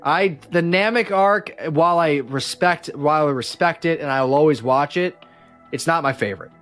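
A man's voice: fairly high pitch, normal speed, fairly bright tone, soft background music. A man chatting casually and enthusiastically as a fan and podcast guest, in an informal way.

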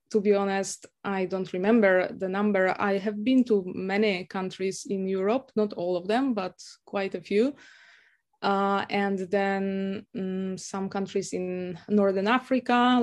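Woman, young adult, from Poland, native Polish speaker, hesitant with many fillers, mid pitch. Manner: hesitant, many fillers and restarts, laughs often. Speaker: guest, university lecturer. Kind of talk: casual interview conversation.

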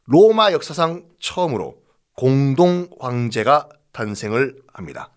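A man's voice: medium pitch, big pitch swings, unhurried. A man telling history as a host in a conversational, dramatic and jokey style with emphatic repetition. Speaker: history storyteller and podcast host. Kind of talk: animated conversational history storytelling.

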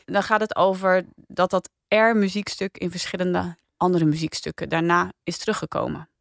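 A woman's voice: lowish voice, fairly even intonation, moderate pace, gravelly, no room sound, clean studio sound. A woman with a Dutch accent hosting a podcast in casual review chat. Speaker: podcast host.

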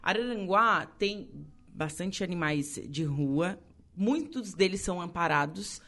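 A woman's voice: on the low side, lively intonation, measured pace, fairly bright tone. A woman from Rio Grande do Sul hosting an interview in a chatty, affirming way. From Rio Grande do Sul, Brazil. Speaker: interviewer, host. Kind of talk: conversational interview.

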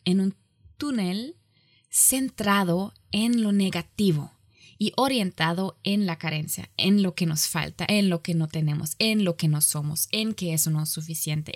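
A woman gives a lecture in a language she did not grow up with, speaking slowly and often stopping to correct herself. Her voice sits a little low, and the recording is dry and close. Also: no echo. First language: not Spanish. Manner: halting, self-correcting, with frequent pauses. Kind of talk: online lecture.

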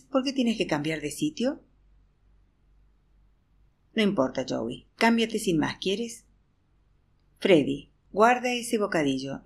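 A woman reads a first-person audiobook with a low, husky voice. She shifts into an animated, weary teacher talking to a noisy class. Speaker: audiobook narrator. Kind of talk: expressive audiobook reading.

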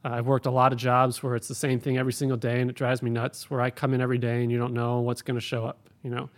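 A man's voice: mid-range voice, monotone, fast. A man who works as an air traffic controller, answering interview questions in a plain, practical, explanatory way.

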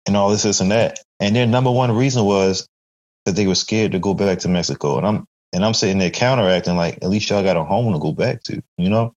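Very deep man, dull lo-fi sound. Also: fairly quick. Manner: animated storytelling with acted-out quotes. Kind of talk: casual podcast conversation.

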